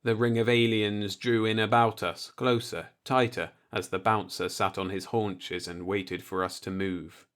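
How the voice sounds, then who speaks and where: A man's voice: fairly low pitch, level tone, a little slow, hardly any pauses, a bit bright. A man reading a short story aloud as a scripted audiobook narration.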